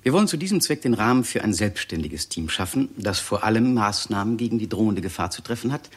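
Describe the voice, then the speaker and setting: An actor playing a courteous, diplomatic state secretary in a 1960s radio play, speaking in a polite, measured, persuasive way. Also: male voice, fairly low pitch, lively intonation, breathy edge. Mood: formal, persuasive.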